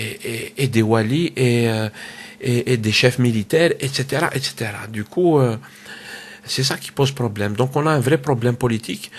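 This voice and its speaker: A man with a cold giving a casual solo political commentary, hesitant, with many fillers and repeated words. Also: medium pitch. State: has a cold. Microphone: home microphone.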